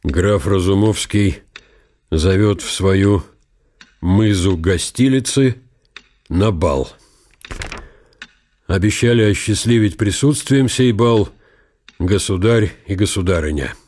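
An older actor playing a shrewd, ambitious old field marshal, musing deliberately to himself in a radio play. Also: male voice, low voice, a little mellow. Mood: reading aloud. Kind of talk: radio drama monologue. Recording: long hall reverb, music underneath. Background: music.

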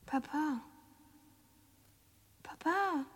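A woman's voice: sits high, drawn-out words. An actress in a radio drama playing a frightened little girl with a plaintive, childlike way of speaking. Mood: anxious calling. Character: frightened little girl calling for her papa. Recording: long reverberant hall echo.

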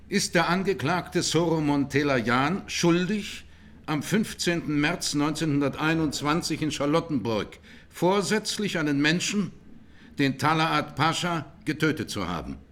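A male presiding judge speaks in a somewhat high voice. He is orderly, formal and matter-of-fact, working through procedure in a radio courtroom drama. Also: a bit bright.

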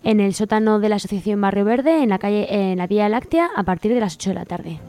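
A woman's voice: mid-range voice, fast, loud music behind the voice. A woman with an accent from Spain, co-hosting a community radio show and reading out event times and places. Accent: Spanish from Spain. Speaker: radio co-host.

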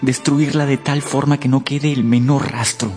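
An actor playing a desperate young gentleman in a radio drama, imploring in a hushed, husky voice. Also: mid-range voice, fairly even intonation, normal speed, faint music behind, close to an everyday voice. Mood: desperate, pleading. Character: desperate, pleading young gentleman, quietly manipulative.

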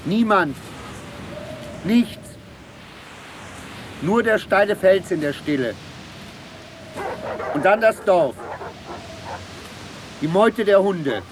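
Bleak man in radio drama, high-pitched, extremely slow, sparse fragments, faint noise. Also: animated, full character voice. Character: stark male voice evoking desolate emptiness.

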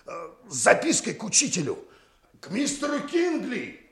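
An actor playing a gruff, sarcastic middle-aged lighthouse keeper and scolding father in a 1960s Soviet radio drama. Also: high voice, huge pitch swings, moderate pace, exaggerated voice. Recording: noisy old radio recording.

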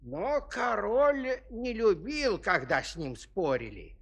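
A man narrating a children's fairy tale as a storyteller, expressive and playful, savouring the words. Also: sits high, slow, husky.

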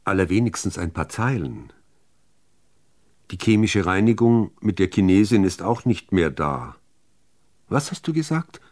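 A man reciting literary poetry in a measured, deliberate manner with dramatic pauses between phrases. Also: on the low side, a little mellow. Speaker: reader of poetry.

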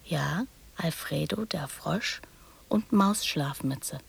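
A woman's voice: low-pitched, lively intonation, takes it slowly, vocal fry, husky, heavily acted. An actress playing a young girl in a radio drama, prattling and teasing in childlike fragments that often drop to whispers. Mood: hushed, secretive.

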